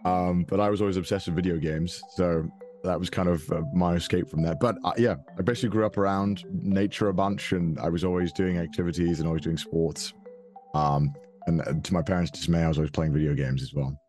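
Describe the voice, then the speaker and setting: A man's voice: deep voice, fairly even intonation, fairly quick, darkish tone, faint music behind. A man, chatting as an interview guest, with plenty of fillers and restarts. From Wales. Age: 20s. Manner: hesitant, many restarts and fillers, laughs often. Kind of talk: casual interview chat.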